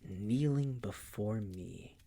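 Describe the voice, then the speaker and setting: A man playing a possessive, coolly menacing vampire master in an intimate audio roleplay, teasing and condescending in a breathy, gravelly whisper. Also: fairly low pitch, warm tone. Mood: cold, controlling. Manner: slow, condescending, teasing, coaxing.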